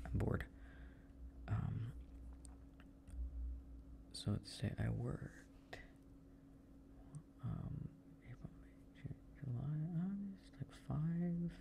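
A man doing a soft ASMR boyfriend roleplay, murmuring and half-whispering to himself as he works. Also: on the low side, creaky, slight background noise. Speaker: audio roleplay performer playing a boyfriend. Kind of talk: soft improvised ASMR roleplay, muttering to himself while working.

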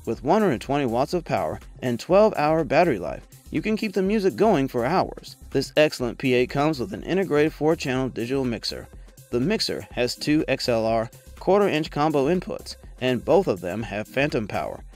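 A man's voice: mid-range voice, big pitch swings, moderate pace, a little mellow. A man reading a scripted product review voice-over in a polished, promotional manner. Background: background music.

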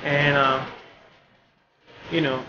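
A fairly high-voiced man with a Bulgarian accent talks haltingly, stopping often as he searches for words. He is in his thirties. The recording is muffled and roomy, with steady noise from the gallery around him. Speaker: artist. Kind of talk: documentary interview. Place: art gallery, indoors.

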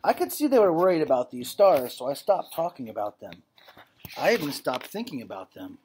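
A man's voice: a little high, very animated, a little fast, a little mellow, slight background noise, rough recording. A man in his thirties reading a storybook aloud like a teacher, telling it expressively and acting out the dialogue. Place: indoor classroom. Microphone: webcam or laptop at desk distance.

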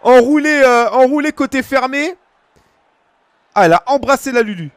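A man in his thirties from France commentates his own football game on a live stream, chatting casually with his viewers. His voice sits fairly high and swings widely, jumping to excited shouts at big plays. The sound is close and dry, recorded at a home desk. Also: moderate pace, dry recording. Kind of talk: live gaming commentary to chat. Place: small room at home.

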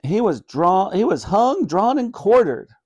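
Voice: medium pitch, very animated, moderate pace, mellow, dry recording, close to an everyday voice. A reader voicing an earnest young grandson, a boy in a children's story. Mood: eager, surprised.

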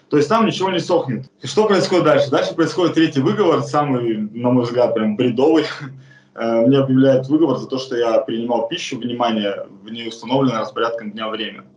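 A man's voice: medium pitch, darkish tone. Earnest man in an echoey room. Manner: plain, earnest, frequent hesitations. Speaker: convict telling his case. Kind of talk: first-person testimony to camera. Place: bare indoor room.

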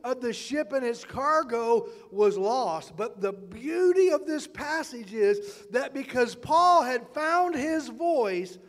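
A man's voice: extremely high pitch, expressive, slow, few pauses. An older man preaching a sermon as a pastor, earnest and emphatic, drawing out his key words. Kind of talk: sermon for an online church broadcast. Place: church stage in a large auditorium. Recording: long hall reverb.

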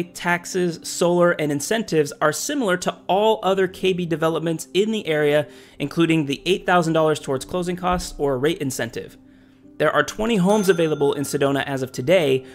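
Man, real estate agent, scripted narration, slightly higher voice, soft music behind. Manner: steady, informative listing of prices and figures. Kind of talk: scripted market update narration.

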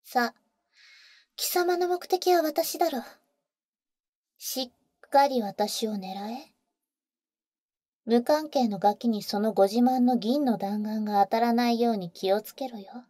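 A woman playing a tender, protective vampire older sister in a Japanese ASMR audio roleplay, soft and breathy with cool, boyish phrasing, close on the mic. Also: on the high side, unhurried, long pauses, no room sound. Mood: cold, defiant. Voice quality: soft, breathy, often whispering. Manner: husky, cool, boyish speech. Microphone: close studio microphone, dry.

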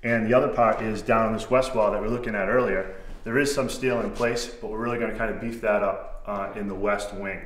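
A man's voice: on the low side, fairly even intonation, brisk, far from the mic. A man in his thirties explaining masonry restoration work step by step while touring an old stone barn with a lapel mic. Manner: plain, methodical technical explanation. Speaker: masonry contractor. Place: large old barn and outdoor ruins. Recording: echoey barn room, faint noise.

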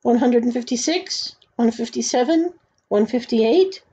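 A woman's voice: expressive, a little slow, mellow, slightly rough, muffled recording, full character voice. A woman voicing an earnest, plaintive young boy in a storybook read-aloud. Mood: desperate. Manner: high, earnest delivery.

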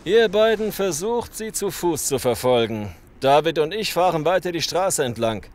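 A man's voice: fairly high pitch, animated, normal speed, lightly acted. An actor playing a menacing, calculating male gang boss in a German radio play, commanding and mock-polite. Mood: commanding, threatening.

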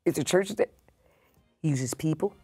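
A woman in her sixties, a pastor talking as a guest in a casual interview, emphatic and repeating her points, often dropping soft and breathy. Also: sits low, moderate pace, soft background music. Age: 60s.

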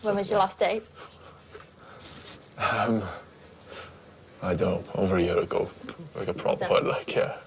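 A young man with a Welsh accent giving short, halting replies in casual chat and admitting he struggles with small talk. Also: low voice, huge pitch swings, creaky, noisy background. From Wales. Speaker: dating show participant. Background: music.

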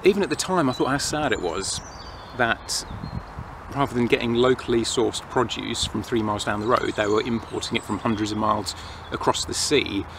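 A man's voice: medium pitch, moderate pace. A man in his thirties with an English accent, rambling casually and reflectively to camera with his opinions while outdoors in a forest. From England. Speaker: outdoor vlogger. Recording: noisy outdoor ambience, distant planes and traffic.

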